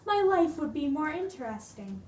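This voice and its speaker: Female reader as discontented talking shape, high, plaintive; distant, roomy storybook recording. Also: very animated, moderate pace, a little mellow. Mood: wishful. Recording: roomy, distant microphone with clear noise.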